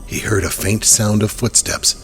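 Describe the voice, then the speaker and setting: A man's voice: on the low side, flat delivery, normal speed, soft background music. A man narrating a horror audio drama in a scripted storytelling style.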